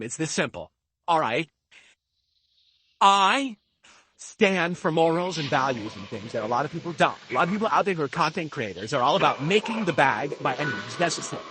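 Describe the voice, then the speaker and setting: A middle-aged man talks into a desk microphone at home, ranting and swearing freely. His voice is bright and somewhat husky, pitched a little high, and it sounds dry and close. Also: dry recording. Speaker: streamer. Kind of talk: casual stream talk and rant. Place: home room with window and fans. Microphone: desk microphone at normal distance.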